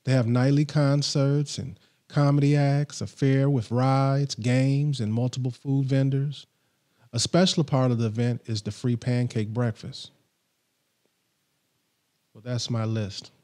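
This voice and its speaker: A middle-aged man with a mellow, darker voice reads a countdown list in a steady, scripted manner. He hosts a podcast and sits close to a studio microphone in a small home studio. His pitch sits in a typical middle range for a man. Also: measured pace. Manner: steady, read-from-script delivery. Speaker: podcast host. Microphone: studio mic on boom arm, headphones on.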